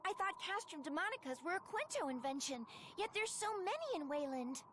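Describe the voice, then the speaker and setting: A woman's voice: extremely high pitch, big pitch swings, a little fast, fairly bright tone, slight background noise, heavily acted. A woman voicing a small, childlike companion in a video game, earnest and inquisitive. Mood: puzzled.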